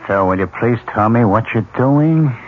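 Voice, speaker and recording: Male actor, mid pitch with lively inflection: doubting, inquisitive coworker, old radio drama, muffled and slightly hissy. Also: moderate pace, lightly acted. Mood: incredulous. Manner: questioning, incredulous. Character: skeptical, curious male office colleague. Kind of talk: 1970s radio drama acting. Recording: muffled 1970s radio broadcast, faint hiss.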